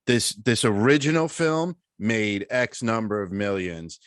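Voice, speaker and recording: Low-voiced man in casual podcast chat. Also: measured pace, clear, resonant tone, no echo, studio quality. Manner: animated storytelling with slang and swearing. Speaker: podcast co-host.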